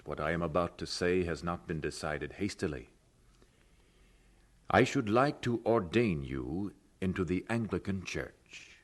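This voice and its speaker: A man playing a dignified elderly bishop in an audio drama, speaking formally and deliberately with benevolent authority. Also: low-pitched, animated. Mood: stately approval.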